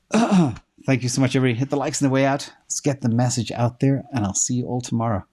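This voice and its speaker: A man's voice: mid-range voice, fast talker, a little mellow. A middle-aged man who is losing his voice hosts a casual livestream, answering viewer questions and thinking aloud with fillers while he checks data.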